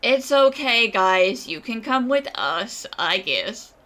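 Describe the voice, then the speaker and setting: A woman's voice: on the high side, big pitch swings, takes it slowly, a bit bright, exaggerated voice. A woman playing a sassy, easygoing young woman in a video game, with a frank, slangy, teasing manner. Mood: wry, unimpressed.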